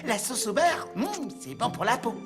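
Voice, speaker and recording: A woman voicing a small, excitable and cheeky creature sidekick in a fairy-tale cartoon, playful and exclamatory. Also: fairly high pitch, normal speed, over loud music. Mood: mocking, pleading. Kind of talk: animated fairy-tale dub.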